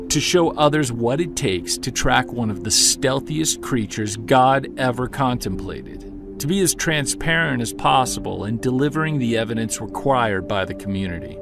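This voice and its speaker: A man playing a driven, defiant Bigfoot hunter narrating an audio-drama journal with earnest intensity and emphatic pauses. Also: mid-range voice, animated, over music, close to an everyday voice. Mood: defiant, determined.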